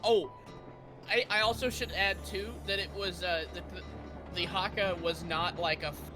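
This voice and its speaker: A man with a high voice rambles through an explanation, stumbling and filling every gap with "like". He talks over an online call, with loud game music in the background. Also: steady tone, moderate pace. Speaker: stream guest. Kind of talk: casual chat.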